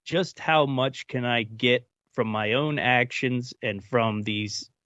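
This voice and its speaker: A man's voice: medium pitch, takes it slowly, fairly bright tone, no room sound. A man on a discussion panel, talking casually and reflectively, often searching for his words. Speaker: panel participant. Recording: dull, dry, lo-fi sound.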